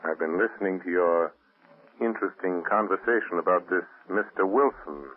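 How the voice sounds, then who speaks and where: Invisible crime fighter for 1930s radio drama: very low, ominous, mocking, deliberate, thin old broadcast sound. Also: male voice. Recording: muffled, band-limited old radio recording.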